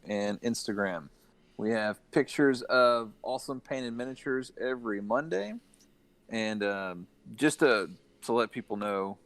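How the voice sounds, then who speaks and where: A fairly low male voice in casual conversation. He sounds like a podcast panelist passing on informal updates. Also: fairly bright tone. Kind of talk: casual chat with plugs.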